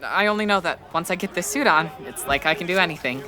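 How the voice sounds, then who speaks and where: A man playing a shy, self-doubting high school boy. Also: lively intonation, fast talker, lightly acted. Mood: shy, defensive. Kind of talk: audio drama acting.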